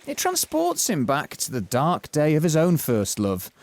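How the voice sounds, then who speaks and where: A man's voice: a little high, huge pitch swings, measured pace, darkish tone. A man narrating a comic audio drama from a script in a mock-serious manner.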